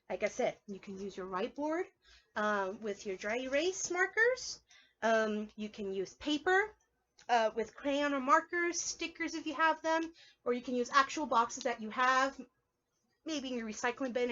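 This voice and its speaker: High-voiced woman teaching preschoolers, dull recording. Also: sharp tone. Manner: playful and encouraging, exclaims and asks listeners questions. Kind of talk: read-aloud and drawing lesson for young children.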